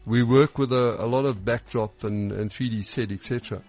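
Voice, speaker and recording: A man, a festival organiser interviewed on radio, halting with many restarts. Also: mid-range voice, steady tone, a little mellow, faint music behind. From South Africa.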